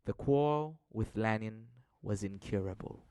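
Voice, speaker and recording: A man narrates a scripted audio drama in a lowish voice. His storytelling is measured and dramatic, recorded very dry and close to echo-free. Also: mellow.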